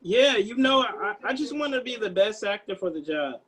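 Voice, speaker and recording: A man playing an anxious screenwriter in a table read, halting and hesitant as he speaks. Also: high voice, sing-song, sharp tone, husky, exaggerated voice. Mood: polite unease. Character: anxious screenwriter man pitching his action script to producers.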